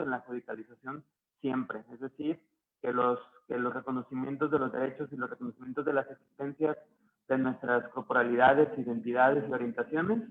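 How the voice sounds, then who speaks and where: A man speaking as a panelist in an online discussion, halting and full of fillers. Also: mid-range voice, narrow, tinny audio. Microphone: video call.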